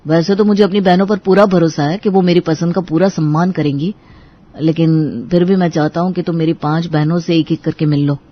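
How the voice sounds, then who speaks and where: A man's voice: fairly high pitch, steady tone, brisk, lo-fi sound, lightly acted. A man playing an earnest, devoted young suitor, explaining sincerely in an audio story drama. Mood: sincere, coaxing.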